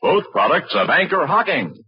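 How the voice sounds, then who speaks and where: A man's voice: a little high, big pitch swings, moderate pace. A man announcing in polished, emphatic 1940s radio style, reading scripted sponsor copy on a muffled old broadcast.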